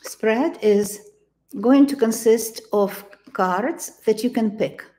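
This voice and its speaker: A woman in her sixties with a Ukrainian accent, giving a tarot reading in a halting, deliberate, gentle way. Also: medium pitch. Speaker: tarot reader. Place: living room, indoors.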